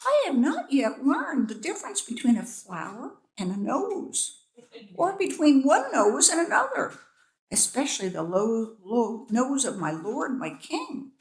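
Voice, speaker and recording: Woman reader plays young male bee, storybook: squeaky, flattering, swoops all over. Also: a little slow. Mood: pleading. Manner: squeaky, high and wheedling. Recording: echoey room.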